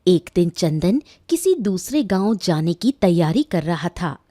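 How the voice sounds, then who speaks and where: Mellow, expressive woman storyteller. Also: on the low side, unhurried, dry recording, studio quality. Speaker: narrator. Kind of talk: scripted storytelling narration for cartoon moral tale.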